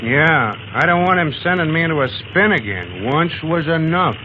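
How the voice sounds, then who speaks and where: An actor playing a folksy, grumbling, good-hearted pilot sidekick in a 1930s radio serial, slangy and blustering. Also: male voice, on the high side, animated, moderate pace, natural voice. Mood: cheerful bluster. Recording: dull, noisy 1930s radio recording.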